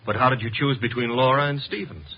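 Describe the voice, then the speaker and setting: An actor playing a brash younger detective's assistant with a breezy, slangy, sardonic way of talking, in 1950s radio style. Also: male voice, medium pitch, fast talker, slightly rough. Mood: probing, sardonic. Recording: muffled vintage 1950s radio recording.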